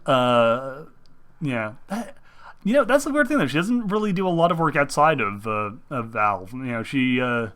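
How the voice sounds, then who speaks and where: A young man talks in a loose, rambling way, often breaking off and restarting mid-thought. His voice is bright and forward, and it jumps up with excitement when an idea grabs him. He is hosting a casual chat about games. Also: mid-range voice, normal speed. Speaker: host.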